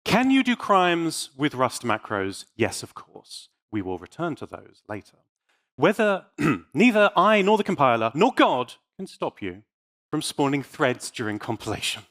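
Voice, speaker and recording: A man speaks as a conference presenter with a very lively, swooping delivery. He is theatrical and emphatic, leaning on key phrases in a prepared talk laced with wry, dry humor. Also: a little high, a little slow.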